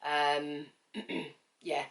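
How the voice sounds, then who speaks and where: Woman vlogging, a bit croaky today, lowish voice, rambles and repeats herself. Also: flat delivery, drawn-out words, fairly bright tone, a little hiss or hum. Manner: chatty, frank, with many fillers and repeats. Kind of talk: casual talk to camera.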